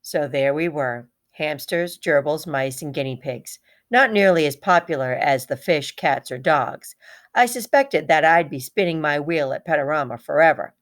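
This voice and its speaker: A woman's voice: low voice, moderate pace, natural voice. A woman voicing a small, proud, heartbroken male pet hamster in a dramatic children's storybook read-aloud, repeating words for emphasis. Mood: wistful, eager.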